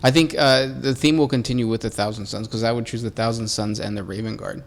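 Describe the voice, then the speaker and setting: A man's voice: mid-range voice, fairly even intonation, fast. A man co-hosting a casual podcast about a tabletop war game, easygoing and joking, reading out fan questions. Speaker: podcast co-host.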